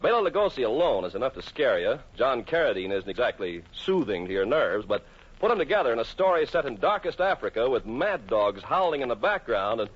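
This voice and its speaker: A man's voice: mid-range voice, sing-song, brisk, breathy edge. A man announcing in the style of 1940s radio. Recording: dull vintage radio recording.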